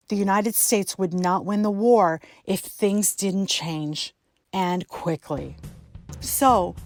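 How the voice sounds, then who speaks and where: A woman's voice: medium pitch, darkish tone. A woman reading a scripted educational narration in the manner of a presentation voice-over. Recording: music behind the voice. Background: music.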